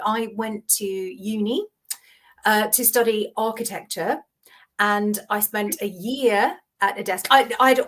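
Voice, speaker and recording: A woman in her fifties who grew up in Hong Kong, a guest on a video-call interview, telling her career story with animated acted-out dialogue. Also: medium pitch, vocal fry. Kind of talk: conversational interview.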